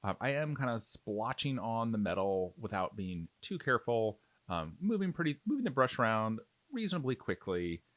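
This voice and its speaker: A man with a fairly low voice narrates a step-by-step tutorial in an informal, conversational manner. His intonation is very lively, moving freely up and down as he explains and reassures. The sound is dry, with very little room in it. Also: moderate pace, hardly any pauses, no room sound. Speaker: miniature painting tutorial host.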